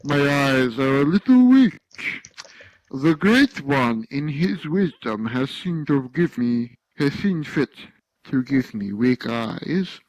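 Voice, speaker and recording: A man voicing a fat, weepy, saccharine foreign traveller with a thick, slightly guttural voice and a sugary, slow, self-pitying way of talking. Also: mid-range voice, expressive, rough recording. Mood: pious self-pity.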